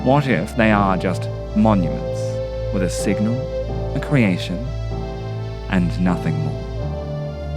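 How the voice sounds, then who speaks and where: A man with a fairly low voice narrates a scripted essay over clear background music. His intonation moves widely, and his phrasing is literary and theatrical. The delivery is reflective throughout. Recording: music behind the voice.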